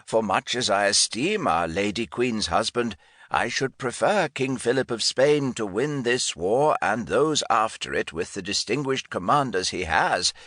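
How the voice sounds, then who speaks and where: A man's voice: mid-range voice, a bit bright, no echo, studio quality, pitched above the performer's own voice. A man voicing an irascible grey-bearded English lord and commander in an audiobook, speaking formally and with imperious emphasis. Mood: commanding.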